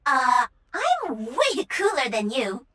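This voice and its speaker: Bright, cocky young gamer girl. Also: sits high, wildly swooping pitch, slow, exaggerated voice. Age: young adult. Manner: cheeky, bragging, teasing. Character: cocky, playful young Korean woman gamer and mech pilot.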